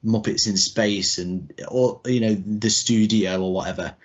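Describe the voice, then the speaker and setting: A man co-hosting a podcast in casual chat, talking in a rambling, halting, informal way. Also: lowish voice, steady tone. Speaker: podcast co-host. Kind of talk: casual chat about retro cartoon video games. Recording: dull, muffled lo-fi audio.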